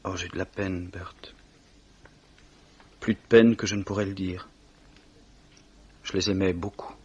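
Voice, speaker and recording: Subdued young graduate in mourning, radio play; husky low voice, dull vintage sound. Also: male voice, steady tone, unhurried, darkish tone. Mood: stunned grief. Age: young adult. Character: grieving, self-deprecating young gentleman fresh from university. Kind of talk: 1950s French radio drama acting. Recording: dull old radio recording.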